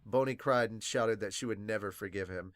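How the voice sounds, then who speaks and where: Excitable sniffly man, close dry recording. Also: on the low side, brisk, smooth, no room sound. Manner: excitable, casual swearing. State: congested, sniffly from crying. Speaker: podcast host reviewing manga. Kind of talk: casual fan reaction, reading viewer comments aloud.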